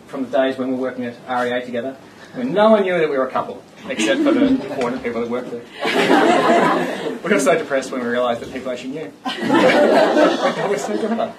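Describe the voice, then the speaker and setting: A man giving a joking, rambling engagement speech to his guests, with a mid-pitched voice. He was recorded from across the room, so he sounds distant, and loud party chatter runs under him. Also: big pitch swings, a little fast, very noisy. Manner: joking, rambling, self-mocking.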